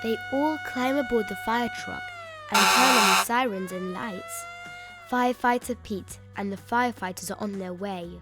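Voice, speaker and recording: A woman reading a children's picture book aloud in an expressive, emphatic way, stretching out key words. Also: on the high side, normal speed. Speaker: children's story reader. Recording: music bed behind the voice.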